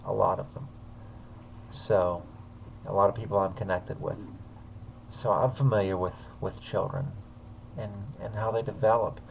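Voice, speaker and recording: A man's voice: fairly low pitch, gravelly, a little mellow, highs cut off, a little hiss or hum. A man casually commenting on a video he uploaded, talking hesitantly with many fillers.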